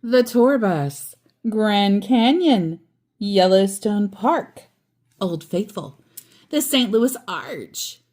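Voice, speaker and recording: A woman reading a children's picture book aloud in an expressive, deliberate storyteller's manner. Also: medium pitch.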